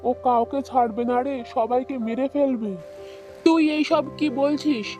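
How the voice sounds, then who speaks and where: A woman's voice: sits high, moderate pace, mellow, soft background music, close to an everyday voice. A woman voicing an anxious, agitated young man in a children's cartoon, with dramatic urgency. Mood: alarmed, then indignant.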